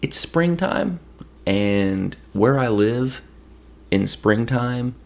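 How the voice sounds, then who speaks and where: A hoarse man with a gravelly voice from allergies, reviewing a movie in a rambling, casual way with lots of restarts. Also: medium pitch, lively intonation, drawn-out words, a little mellow. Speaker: podcast host.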